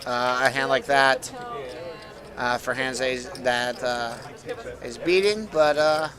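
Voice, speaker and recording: A man's voice: mid-range voice, takes it slowly, a crowd in the background. An American man giving casual live poker commentary as a guest, easygoing and chatty.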